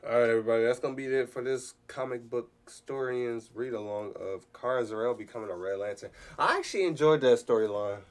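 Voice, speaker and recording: A man hosting a read-along, giving informal off-the-cuff commentary in a halting, self-correcting way. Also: medium pitch. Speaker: host of a read-along reaction. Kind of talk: casual unscripted commentary.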